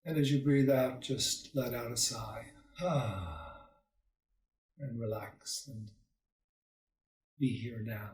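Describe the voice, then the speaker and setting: A man leading a guided meditation as a teacher, calm and soothing, leaving long pauses between short phrases. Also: mid-range voice. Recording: roomy echo, distant microphone.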